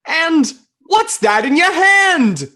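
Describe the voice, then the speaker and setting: A woman playing a wisecracking, hardboiled detective in a 1940s-style noir radio parody, speaking deliberately. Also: very high voice, sing-song, pushed and bright, full character voice. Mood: wry, cool.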